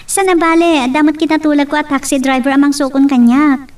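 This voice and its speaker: Woman playing high-voiced modest Ilocano sweetheart. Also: little pitch movement, brisk, darkish tone, far from the mic, close to an everyday voice. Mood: coy, teasing. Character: modest working daughter from a poor family, gently teasing. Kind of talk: Ilocano radio-style romantic drama acting.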